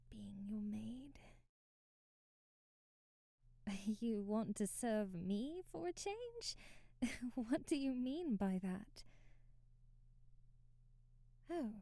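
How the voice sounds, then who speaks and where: A woman playing a shy, devoted young housemaid in an ASMR romance roleplay, speaking softly and breathily with hesitant, genteel, old-fashioned phrasing and frequent whispers. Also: on the high side, no room sound, full character voice. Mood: tender, shy. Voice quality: soft, breathy, often dropping to a whisper. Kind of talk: ASMR romantic audio roleplay, period drama. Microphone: close mic, dry.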